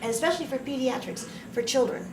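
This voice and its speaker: A woman's voice: high voice, fast. A woman hosting an interview, asking encouraging questions and giving frequent small affirmations. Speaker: interviewer host. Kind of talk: interview, warm prompting questions. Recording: roomy sound, mic at a distance, faint noise.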